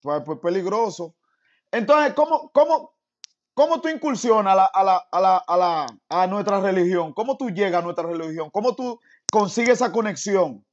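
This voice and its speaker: High-voiced man on a lo-fi video call. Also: animated. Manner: halting, restarts his questions. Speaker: interviewer. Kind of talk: casual interview over a video call. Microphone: phone on a video call.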